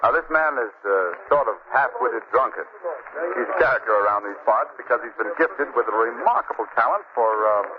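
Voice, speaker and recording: An actor playing a polished, slightly amused bar patron in an old radio drama, explaining things in a knowing way. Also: male voice, mid-range voice, expressive, moderate pace, close to an everyday voice. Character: cultured Englishman-sounding bar patron, adult man. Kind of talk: 1940s radio acting. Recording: tinny old radio broadcast with crowd murmur.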